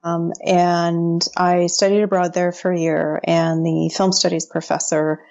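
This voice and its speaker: A woman's voice: on the low side, little pitch movement, a little slow, gravelly, darkish tone, highs cut off. A woman in her thirties from the United States, a guest on a podcast interview, chatty and often laughing.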